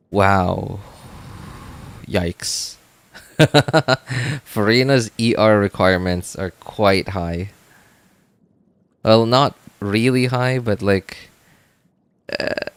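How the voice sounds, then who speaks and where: Low, gravelly, unhurried male game streamer. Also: dry recording. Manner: rambling, thinking aloud, repeats words. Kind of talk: casual livestream chat while playing.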